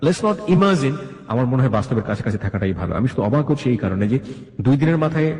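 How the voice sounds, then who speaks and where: A man's voice: medium pitch, sing-song, quick pace, a little mellow. A man hosting an interview, probing and summing up a guest's story, mixing in English words, in a reverberant recording. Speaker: host interviewer. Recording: echoey, reverberant sound.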